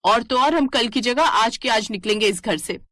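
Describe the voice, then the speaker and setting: A spoiled young city wife from an animated moral tale, performed by a woman. She pitches high and sounds whiny, haughty and demanding, in a dry, close recording. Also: moderate pace, no echo. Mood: angry, threatening.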